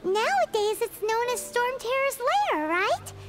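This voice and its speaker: A woman voicing a tiny, excitable fairy companion in a video game, childlike, squeaky, eager and inquisitive. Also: measured pace, full character voice. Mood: curious. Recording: game music behind the voice.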